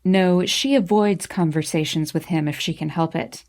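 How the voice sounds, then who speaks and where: A woman's voice: on the low side, normal speed, darkish tone, lightly acted. A woman voicing a brooding, defiant young man in an audiobook, bitter, curt and cutting. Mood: cold, irritated.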